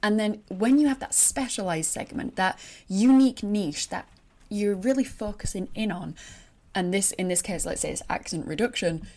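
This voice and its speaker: A young woman with a Yorkshire accent speaks directly to her listeners, coaching teachers on earning money online. Her manner is chatty and encouraging, with plenty of fillers and restarted phrases. Her voice sits at an ordinary middle pitch for a woman. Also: normal speed, darkish tone. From northern England. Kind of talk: solo talk to camera.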